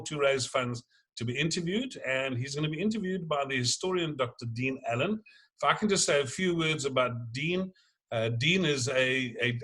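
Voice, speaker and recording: A man's voice: mid-range voice, pushed and bright. A man opening an event and introducing the interviewer, hesitating with many fillers. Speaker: master of ceremonies. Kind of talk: introducing a speaker.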